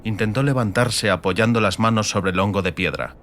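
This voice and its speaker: A man's voice: fairly low pitch, moderate pace, fairly bright tone, a little hiss or hum. A man reading a scripted, dramatic fantasy audiobook narration.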